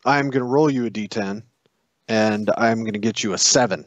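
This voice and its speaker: A man's voice: lowish voice, moderate pace, dry recording. A man co-hosting a casual chat, dropping short, wry remarks. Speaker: co-host.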